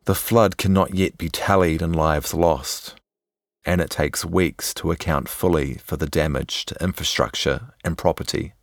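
A man reading scripted documentary narration in a measured, serious storytelling manner. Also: extremely low pitch, fairly even intonation, creaky, no echo. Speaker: journalist narrator.

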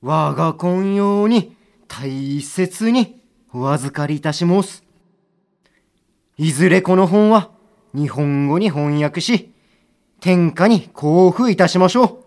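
Satsuma-dialect samurai official, high lively delivery, slow, archaic and formal. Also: male voice. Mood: dignified, gruff. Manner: formal, archaic, heartfelt pleading. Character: stern but earnest male samurai-era official. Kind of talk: studio audio drama acting.

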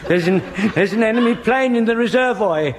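An actor playing an excitable, muddled old army corporal in a British sitcom, with an English accent and a flustered, deferential, repetitive manner. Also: male voice, high voice, fairly quick, crowd noise. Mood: panicky. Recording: noisy old radio comedy recording.

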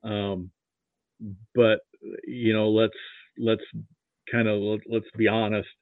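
An American man from Nebraska talking casually about sports as a podcast guest, halting and opinionated. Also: fairly low pitch, level tone, no room sound. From Nebraska, United States. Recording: thin phone-like call audio.

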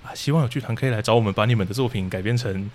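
A man's voice: fairly low pitch, very fast. A man with a Taiwanese Mandarin accent, answering casually as a podcast guest and explaining things as he thinks aloud. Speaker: guest, radio drama troupe leader.

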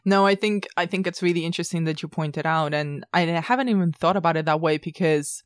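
A woman with a notably low voice talks casually as co-host of a film podcast. The sound is dry, with almost no room around her. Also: a little fast, no echo.

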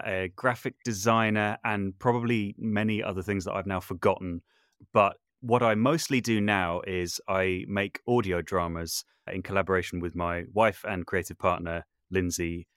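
A man's voice: low voice, a bit bright, no echo, studio quality. A man chatting casually as a guest in an interview. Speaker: podcast guest, audio drama producer.

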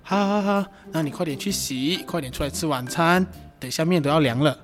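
A man's voice: fairly high pitch, fairly quick, soft background music, lightly acted. A man with a Malaysian accent playing a playful, doting gym teacher who teases and coaxes his boyfriend in a Chinese radio drama. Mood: teasing. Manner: teasing, coaxing, sing-song particles. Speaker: amateur voice actor.

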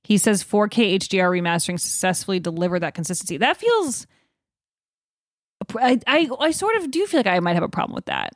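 A woman's voice: mid-range voice, sing-song, brisk, no room sound, studio quality. A woman hosting a podcast in an informal, conversational way, moving between chat and reading out items. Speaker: podcast host. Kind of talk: casual podcast chat with read-out news.

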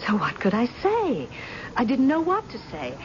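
A woman's voice: a little high, wildly swooping pitch, moderate pace. An actress playing an anxious, submissive wife covering for her husband, with a fretful manner, in a 1970s radio drama. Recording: dull, slightly noisy old radio broadcast recording.

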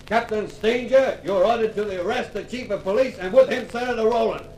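An actor playing a stern committee official giving urgent, authoritative orders in a 1950s radio drama. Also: male voice, high-pitched, brisk, darkish tone, natural voice. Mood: commanding. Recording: distant, echoey, faintly noisy old radio.

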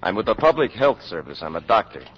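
An actor playing a determined doctor who speaks in a firm, clipped, insistent way in a 1950s radio drama. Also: male voice, fairly low pitch, big pitch swings, fast talker, slightly rough. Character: determined public health doctor, firm and blunt. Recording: dull old radio broadcast recording.

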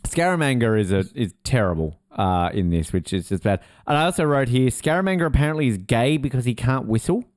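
A man's voice: medium pitch, animated, a little fast, few pauses, no echo, clean studio sound. A man chatting casually as a podcast co-host, jokey and rambling. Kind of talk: casual chat.

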